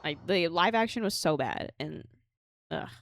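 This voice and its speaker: A woman's voice: lowish voice, lively intonation, a little slow, background noise. A chatty, opinionated woman doing casual, sweary commentary while live streaming a game. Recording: game music behind the voice.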